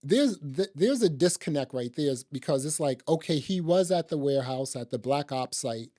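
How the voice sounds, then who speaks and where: A man's voice: a little high, expressive, normal speed, mellow, dry recording. Guy on a movie podcast, slangy chat, keeps asking right, dry sound no room. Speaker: podcast co-host reviewing films. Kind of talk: casual film review chat.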